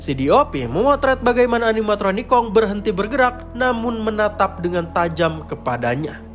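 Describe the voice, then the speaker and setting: A man's voice: high-pitched, very animated, moderate pace. A man narrating a scripted horror story. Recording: music behind the voice. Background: clear background music.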